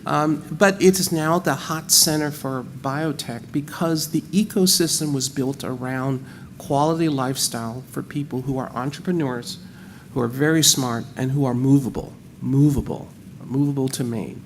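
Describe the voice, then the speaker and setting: A man's voice: mid-range voice, steady tone, normal speed, slight background noise. A man with an American accent speaking animatedly on a panel, repeating words as he thinks. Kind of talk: panel discussion.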